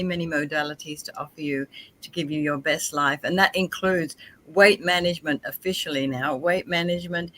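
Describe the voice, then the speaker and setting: A woman's voice: deep voice, sharp tone, a little hiss or hum. A woman with an Australian accent, co-hosting a casual talk show and chatting in a cheerful, effusive way. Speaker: co-host. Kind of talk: casual chat.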